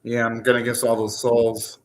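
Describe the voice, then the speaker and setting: A man's voice: mid-range voice, completely flat delivery, moderate pace. A young man hosting a live anime reaction, talking casually, ranting and swearing now and then.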